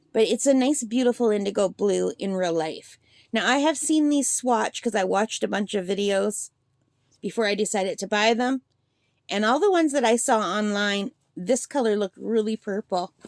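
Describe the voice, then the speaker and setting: A woman with a slightly gravelly voice chatting and gushing as she swatches paints in a casual demo at her craft table. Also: mid-range voice, darkish tone, dry recording, studio quality. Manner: chatty and gushing, thinks aloud. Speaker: art supply reviewer.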